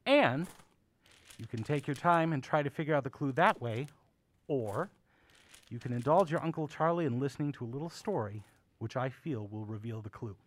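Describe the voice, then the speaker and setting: Male actor as courtly old uncle, curiosity shop owner, very animated intonation, genial, theatrical, old-fashioned, audio drama. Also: mid-range voice, normal speed, no echo, lightly acted.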